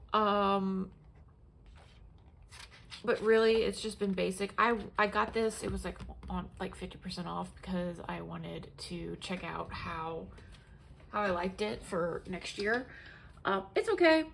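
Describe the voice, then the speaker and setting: A young woman talks through her planners in a loose, rambling way, full of fillers and false starts. Her nose is stuffed up and she sniffles now and then. Her voice has a gravelly edge of vocal fry over a faint background hiss. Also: medium pitch, unhurried. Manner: rambling, many fillers and restarts. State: congested, sniffling. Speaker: planner vlogger. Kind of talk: casual show-and-tell chat.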